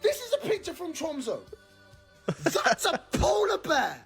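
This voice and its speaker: A speaker in an excited football explainer, enthusiastic and laughing between phrases. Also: extremely high pitch, a little slow, creaky, soft background music. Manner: enthusiastic, laughs mid-sentence.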